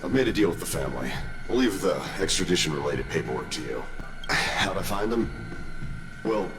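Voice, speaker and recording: An actor playing a middle-aged, world-weary detective in a video game, terse, drawling and quietly self-satisfied. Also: male voice, a bass voice. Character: weary, gruff veteran male detective, dogged and self-satisfied. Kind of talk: game cutscene dialogue. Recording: echoing room, music behind.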